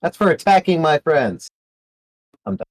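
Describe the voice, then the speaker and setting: A man's voice: fairly high pitch, expressive, moderate pace, no room sound, lightly acted. A man voicing his tabletop adventurer, who complains bluntly and snaps back in clipped retorts. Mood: indignant. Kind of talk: in-character tabletop roleplay.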